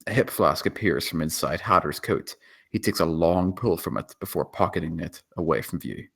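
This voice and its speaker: A man's voice: low-pitched, moderate pace, gravelly, fairly bright tone, slightly rough. A man narrating stage directions in a dramatic screenplay table read.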